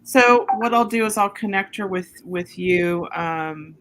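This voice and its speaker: Lady hosting a livestream, lowish voice, lots of ums, faint hum behind. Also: measured pace. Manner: hesitant, many ums while waiting for comments. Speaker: livestream host. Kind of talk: hosting a livestream, reading viewer comments.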